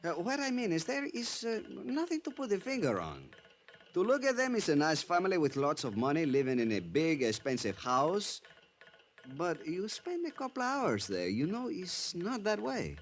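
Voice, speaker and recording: A Venezuelan police chief in his thirties for a radio drama. His voice sits a little high and swings freely up and down. He takes his time, feeling for English words through a thick Spanish accent, relaxed and courteous. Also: normal speed, slight background noise, exaggerated voice. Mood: guarded, ominous. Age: 30s. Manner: leisurely, hesitant broken English with Spanish words.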